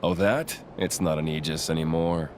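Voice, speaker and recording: A man's voice: steady tone, normal speed, a little hiss or hum. A man playing a contemptuous, unhinged video game villain with a sneering, theatrical delivery. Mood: cold, smug. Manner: sneering, theatrical, swinging from low murmur to shouting. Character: contemptuous, unhinged male villain, cold then ranting. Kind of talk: video game cutscene acting.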